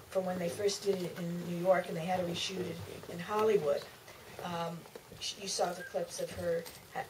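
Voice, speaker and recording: A woman speaking off the cuff as an author guest on a panel, often halting and restarting her sentences. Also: lowish voice. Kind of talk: spontaneous panel discussion. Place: theater stage at a live Q&A. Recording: distant mic, clearly noisy room sound.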